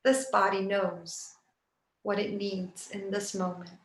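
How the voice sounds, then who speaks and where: A woman yoga nidra teacher leading a guided relaxation meditation in a soft, soothing, unhurried way with long pauses. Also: medium pitch, level tone. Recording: roomy, echoing sound.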